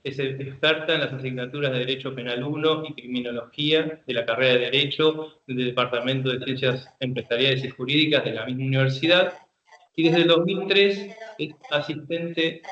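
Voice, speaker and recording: A man's voice: medium pitch, steady tone, moderate pace, fairly bright tone, slight background noise. Man introducing a speaker in an echoey room. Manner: reads a bio, then loose and informal. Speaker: seminar moderator. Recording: echoey room, muffled lo-fi sound.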